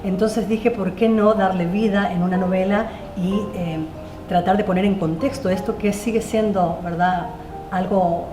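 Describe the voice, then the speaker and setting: A woman's voice: mid-range voice, steady tone, moderate pace, over music. A woman novelist answering panel questions in a hesitant way, with many fillers and restarts. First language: Spanish. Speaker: novelist guest. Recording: echoey room with faint noise.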